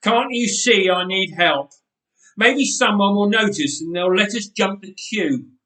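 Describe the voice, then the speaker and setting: A man's voice: sits high, expressive, measured pace, bright, forceful tone, pitched above the performer's own voice, heavily acted. Man doing a whiny cheeky young boy. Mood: mock-suffering. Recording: roomy church echo.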